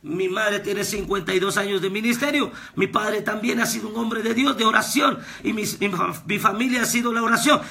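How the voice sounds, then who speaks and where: A man preaches a fervent sermon, repeating his points and rising to shouts. His voice sits high for a man and sounds extremely bright and cutting. A gravelly rasp runs through his delivery. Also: a little fast, hardly any pauses. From Guatemala. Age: 30s. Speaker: pastor and prophet. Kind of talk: recorded devotional sermon.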